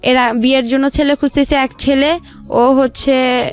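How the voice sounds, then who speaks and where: High-pitched woman telling her story. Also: little pitch movement, a little slow. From Rangpur region, Bangladesh. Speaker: interview guest telling her life story. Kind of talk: informal personal storytelling. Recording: music behind the voice. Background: background music.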